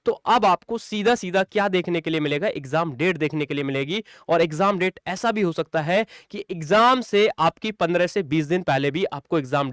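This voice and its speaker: A man speaks as a coaching teacher in a high, smooth voice. He explains things informally and emphatically, often repeating a phrase to drive it home. The sound is dry and close, with very little room. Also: no echo. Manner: repetitive, emphatic, addresses listeners as brother and friends. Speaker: online exam-coaching teacher. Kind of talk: informal exam strategy lecture to students.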